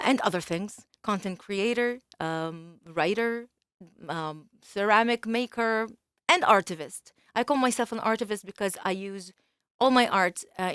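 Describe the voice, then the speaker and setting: A middle-aged woman from Israel, whose first language is Bulgarian, chats to a concert audience as a singer-songwriter in quick, searching speech full of ums and restarts. Her voice is soft and drops low and quiet on the fillers. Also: clear, resonant tone, dry recording, studio quality. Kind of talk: chatting to a remote concert audience.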